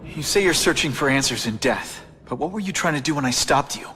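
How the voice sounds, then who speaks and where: A man's voice: on the high side, animated, brisk, sharp tone, soft background music. An actor playing a young male superhero in a video game, earnest and reproving with dry wisecracks. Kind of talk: video game hero voice acting.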